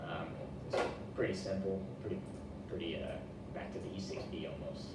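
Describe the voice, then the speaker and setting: Very echoey, low-voiced young man presenting. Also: fairly even intonation, normal speed. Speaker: student presenter. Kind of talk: informal class presentation. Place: echoey indoor room, presenting to a class. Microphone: distant room microphone. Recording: very echoey, distant mic, noisy room.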